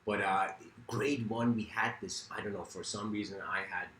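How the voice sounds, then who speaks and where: A man's voice: on the low side, fairly even intonation, normal speed, pushed and bright, echoey room, slight background noise. A man from Iran whose first language is Farsi, giving a reflective philosophical talk in a rambling, self-correcting way with many fillers. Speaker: speaker.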